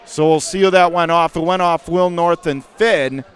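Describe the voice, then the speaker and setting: A man's voice: a little high, moderate pace, fairly bright tone, clean tone. A man giving live basketball play-by-play in clipped present-tense phrases that build with the action. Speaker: play-by-play sports commentator.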